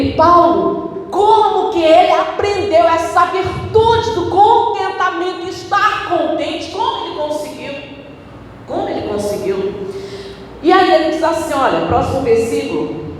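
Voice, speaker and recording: A female pastor preaching a sermon in a church, emphatic, with sudden swings in pitch and tempo. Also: a little hiss or hum. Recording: echoey church hall, recorded from a distance.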